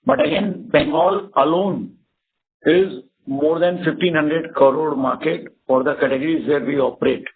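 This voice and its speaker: A man with an Indian accent answering as a company executive on an earnings call, often rephrasing in a halting way. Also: on the high side. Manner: halting, repeats and rephrases words. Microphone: conference phone call. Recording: narrow tinny phone line.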